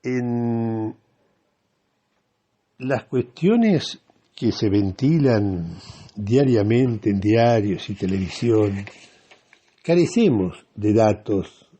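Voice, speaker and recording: A man hosts a radio show in a Rioplatense Argentine accent. His voice is mellow and somewhat rough-edged, and he speaks slowly. He unfolds a reflective monologue in a halting, deliberate way, pausing as he thinks. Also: fairly low pitch, lively intonation, dull audio.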